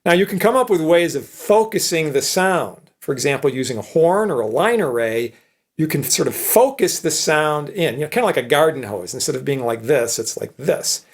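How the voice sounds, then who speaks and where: Man, slightly raised pitch, informal lecturer, explanatory, conversational, tag questions. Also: animated, normal speed. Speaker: electronics professor.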